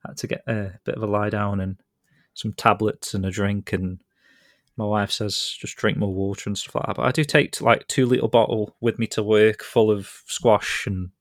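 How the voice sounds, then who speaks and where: A chatty British man hosts a casual podcast, talking in a low voice that rambles along easily. The sound is close and dry, with no room around it. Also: little pitch movement, normal speed, no echo. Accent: British English. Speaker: podcast host.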